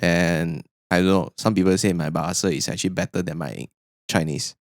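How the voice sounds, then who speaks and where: A young man with a Malaysian English accent, co-hosting a podcast in relaxed, hesitant casual chat. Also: sits low, fairly quick, creaky, no echo, clean studio sound. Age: young adult. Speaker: podcast co-host.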